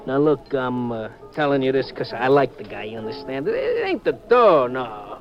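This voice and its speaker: A tough, cagey waiter from a waterfront dive in a fifties radio crime show. He talks in slangy wise-guy style at a middling pitch, on a dull vintage recording. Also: huge pitch swings, moderate pace, soft background music. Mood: confiding, gruff. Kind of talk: 1950s radio drama acting.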